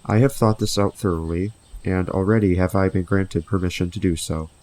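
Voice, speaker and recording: A very low male actor's voice for a serene guardian spirit in an audio drama. He speaks formally and with measured care, in solemn, old-fashioned turns of phrase. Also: steady tone, a little mellow, natural voice. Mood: serene, assured. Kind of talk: scripted audio drama acting.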